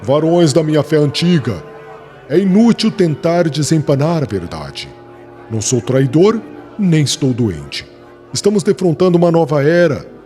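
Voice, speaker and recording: An actor with a Brazilian accent playing a fervent young former rabbi who preaches in a solemn, formal, declamatory way for a dramatized audiobook. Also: male voice, fairly high pitch, warm tone. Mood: earnest, insistent. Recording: music bed under the voice.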